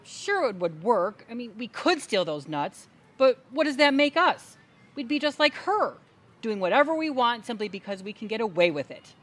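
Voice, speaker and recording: A woman's voice: a little high, sing-song, normal speed. A woman playing an indignant, crusading adult sister in a comedic audio play, speaking in a dramatic, emphatic, speechifying way. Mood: rousing, resolute. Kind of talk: comedic audio theatre acting.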